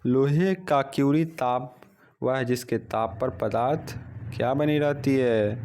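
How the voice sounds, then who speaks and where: A man teaching an exam practice lesson, reading out questions and then giving the answers in a steady drilling rhythm, recorded on a phone. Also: medium pitch, slow pace.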